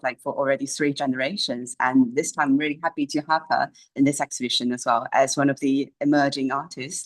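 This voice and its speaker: A woman's voice: low-pitched, low-quality recording. A woman explaining exhibits as a curator, hesitant, with non-native English phrasing. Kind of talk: informal explanatory interview. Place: indoors in a gallery.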